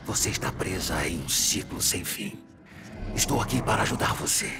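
A fallen spectral knight from a Brazilian fantasy audio drama. The voice is very low, heavy and grave, pleading with solemn deliberation in a Brazilian accent. Loud music plays under it. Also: male voice, lively intonation, moderate pace, vocal fry.

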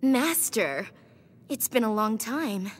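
A woman's voice: a little high, animated, slow pace. An actress playing a young, lethal, self-assured girl assassin in a video game, blunt and devoted. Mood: earnest. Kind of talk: video game cutscene acting. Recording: game audio with faint ambient noise.

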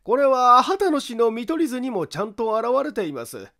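A man voicing an eerie detective in an audiobook, slow, deliberate and insistent as he lays out a crime. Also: extremely high pitch, big pitch swings, pushed and bright, full character voice. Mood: accusing, deliberate. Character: eerie, slow, low-spoken male detective laying out a crime. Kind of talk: dramatic audiobook character acting.

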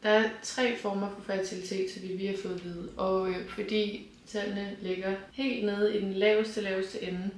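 A woman in her twenties talking frankly to camera in a casual vlog, seated beside her partner in a bright room. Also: medium pitch, flat delivery, hardly any pauses, slight background noise. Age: 20s. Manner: open, frank, laughs lightly. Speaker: vlogger. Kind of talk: casual personal talk to camera. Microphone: camera on tripod, seated beside partner. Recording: roomy echo.

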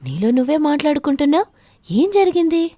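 A woman voicing a sly, thieving pigeon in an animated fable, insinuating and defensive while feigning innocence. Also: high voice, fairly quick, no echo, clean studio sound, voice pushed up.

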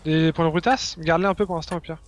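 Fast-talking higher-voiced young man gaming. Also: steady tone. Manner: slangy, joking, swearing. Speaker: video game player commentating. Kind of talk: casual co-op let's play chat. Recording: noisy home recording over game sound. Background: video game sounds.

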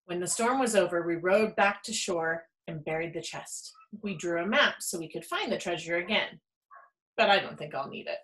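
A woman reading a children's picture book aloud in an expressive storytelling style. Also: lowish voice, moderate pace, low-quality recording. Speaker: storybook reader.

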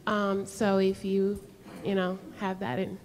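A native Spanish-speaking woman making an earnest, halting comment from the audience at a lecture. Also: mid-range voice, monotone, darkish tone. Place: indoor hall.

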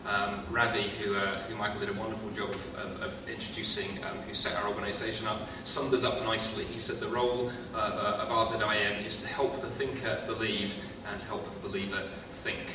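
A man with an English accent introducing himself on a panel with brisk, witty jokes. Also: lowish voice, level tone. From England. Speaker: panelist, apologist. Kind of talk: jokey self-introduction. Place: large lecture hall. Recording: echoey hall from far off, noisy.

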